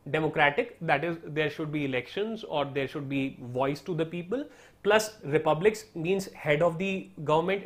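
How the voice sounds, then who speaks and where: A man's voice: a little high, a bit bright. Indian man explaining study tactics. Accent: Indian English. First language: Hindi. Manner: explanatory, repeats "right?" and "so therefore", mixes in Hindi. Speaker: teacher. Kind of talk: online lesson on study strategy.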